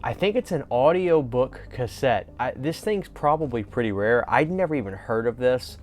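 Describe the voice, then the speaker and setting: A man's voice: mid-range voice, animated, moderate pace, a little mellow. A man hosting a fan video, talking casually and enthusiastically in an informal vlog style. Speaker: host of a horror fan video channel.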